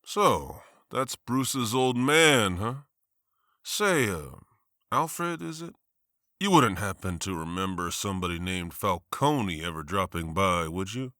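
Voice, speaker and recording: A man's voice: low-pitched, sing-song, slow, pushed and bright, dry recording, clean studio sound, heavily acted. An actor playing a blunt, sardonic male district attorney.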